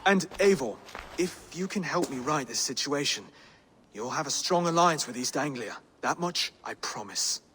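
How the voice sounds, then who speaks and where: An actor playing an earnest, polite Saxon lord who tries to keep the peace in a video game. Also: male voice, on the high side, expressive, moderate pace, a bit bright, a little hiss or hum. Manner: formal, polite.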